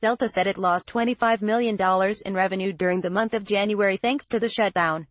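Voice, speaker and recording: A woman narrates a news article from a script. Her delivery is formal and literal, at a middle pitch, with a very dry, close sound free of room echo. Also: steady tone, fairly quick. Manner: reads formally, word for word.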